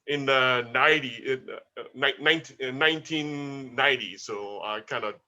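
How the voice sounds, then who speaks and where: A man's voice: mid-range voice, moderate pace, a crowd in the background. A man in his fifties from Malaysia, talking about his life as an interview guest with many small restarts. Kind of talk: casual interview.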